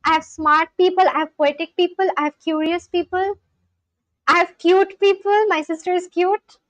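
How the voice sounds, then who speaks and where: A young woman whose first language is Bengali, chatting casually on a live stream, reading out viewers' comments and getting excited. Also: very high voice, normal speed, dry recording, muffled recording. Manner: excitable, gasps and exclaims. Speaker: live streamer learning Spanish.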